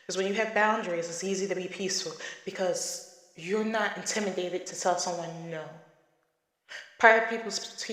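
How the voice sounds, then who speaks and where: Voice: medium pitch, a bit bright. A podcast host in their thirties, talking casually and emphatically in a solo monologue. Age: 30s. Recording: echoey room with long reverb.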